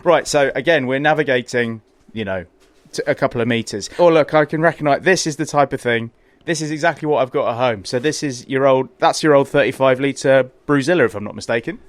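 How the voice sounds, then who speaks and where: A man's voice: medium pitch, brisk, no room sound. Chatty London man hosting a podcast. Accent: London English. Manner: enthusiastic, chatty, repeats words when starting a thought. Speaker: podcast host. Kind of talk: casual interview chat. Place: small home brewery.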